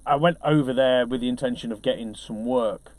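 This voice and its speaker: A man's voice: medium pitch, brisk, a little mellow. A man being interviewed as a DJ, telling casual anecdotes in a chatty, self-deprecating way.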